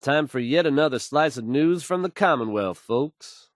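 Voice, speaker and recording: A man's voice: fairly high pitch, animated, normal speed, dry recording, clean studio sound, natural voice. An actor playing a confident, easygoing radio newsman in a video game, suave and wry, with a polished, folksy delivery. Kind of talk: smooth scripted radio news delivery, video game voice acting.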